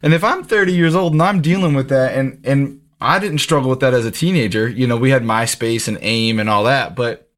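A man's voice: mid-range voice, a little fast, fairly bright tone. A man of about thirty hosting a podcast, who jokes about himself and asks long, rambling questions. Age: 30s. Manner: casual, self-joking, asks rambling questions. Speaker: podcast host. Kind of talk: conversational podcast interview.

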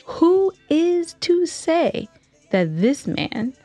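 A woman co-hosting a podcast, telling a story in casual banter with teasing, dramatic, drawn-out emphasis. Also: very high-pitched, sing-song, dark tone, no room sound, faint music behind. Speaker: podcast co-host.

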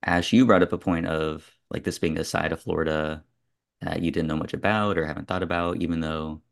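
Male host, casual film chat, very even low register, reflective and loosely discursive. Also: a little fast, creaky. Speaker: podcast host.

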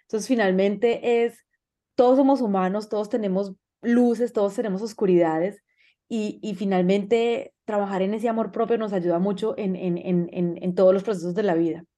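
A Colombian woman hosts a relaxed interview podcast. Her voice is soft-edged and mellow, at an average pitch, and she speaks in a casual, conversational way. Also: normal speed. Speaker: podcast host.

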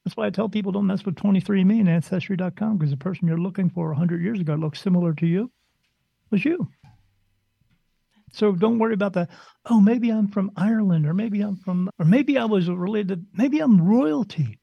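A man's voice: sits high, fairly quick, creaky, no room sound, dull audio. A man who works as a spirit medium, talking casually on a podcast in a blunt, rambling way and slipping into other people's voices.

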